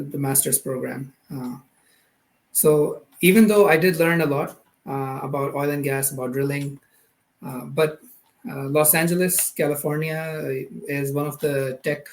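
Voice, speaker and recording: A man in his thirties with a Pakistani accent, speaking as a guest in an interview. His pitch is middling. He talks casually and hesitantly, often doubling back to reword what he has begun. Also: low-quality recording. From Karachi, Pakistan. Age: 30s. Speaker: podcast guest, product manager.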